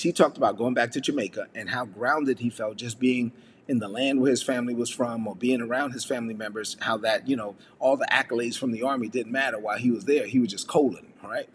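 A man delivers a relaxed devotional message in a chatty, unpolished way, often checking in with a rhetorical "right?". His voice carries a long reverb tail, with a faint noise bed under it. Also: mid-range voice. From United States. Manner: conversational, with frequent fillers and rhetorical "right?". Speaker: preacher.

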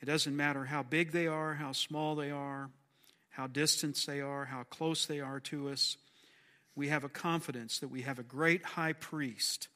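A man with a slightly hoarse voice leading a church class, praying aloud and then chatting casually with the group. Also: a little high, fairly bright tone. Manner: earnest, folksy, jokes with the class. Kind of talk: spoken prayer and casual class talk.

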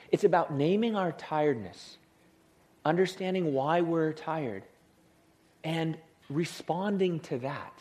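A male pastor giving a sermon in church, with a soothing voice and a humorous, lively manner of delivery. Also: on the high side. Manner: lively, humorous, acts out tired people.

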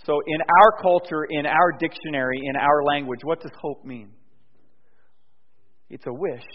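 Man preaching in an echoing hall. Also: measured pace. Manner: swings from soft and low to loud and emphatic; conversational, with restarts and fillers. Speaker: pastor. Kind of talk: sermon. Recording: dull sound with long hall reverb.

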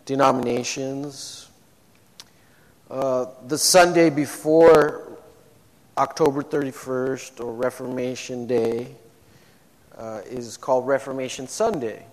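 A low-voiced man delivers a sermon into a microphone, slowly and haltingly, restarting his phrases often. His voice rings with the long reverb of a church hall. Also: fairly even intonation, a little mellow. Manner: halting, many restarts and fillers. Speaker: preacher. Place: church sanctuary.

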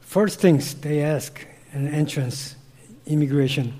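A man's voice: a little high, big-room sound. A man with a Korean accent and broken English, a missionary answering interview questions with personal stories. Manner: broken English, slow and earnest, gets loud when animated. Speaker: missionary guest.

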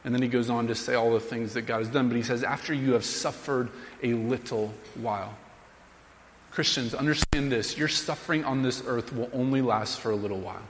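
A man in his thirties preaching a sermon as pastor, earnest and emphatic, slowing down to read scripture aloud. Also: mid-range voice, steady tone, slight background noise. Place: church sanctuary. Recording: long reverb of a large hall.

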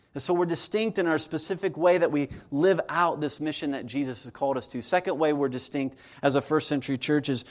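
Earnest man in his forties preaching. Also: on the high side. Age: 40s. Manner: earnest, conversational preaching with repeated phrases. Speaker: pastor. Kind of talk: sermon.

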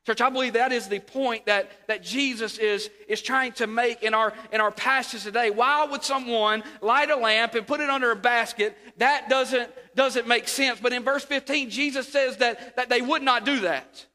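A man's voice: very high voice, normal speed, few pauses. A man preaching a sermon, sniffly from a sore throat, repeating words and calling for amens. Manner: repeats words, rises to near shouts, calls for amens. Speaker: pastor. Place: church sanctuary. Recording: long hall reverb.

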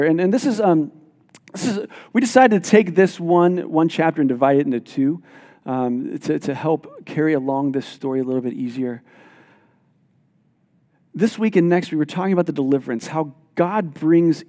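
Animated pastor in a reverberant hall. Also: male voice, on the high side. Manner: animated, self-deprecating, emphatic. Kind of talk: sermon with scripture reading.

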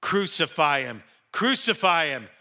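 A man voicing an angry mob in a Bible reading, vehemently shouting its demands. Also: a little high, animated, measured pace, full character voice. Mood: furious. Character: angry mob of male accusers demanding execution.

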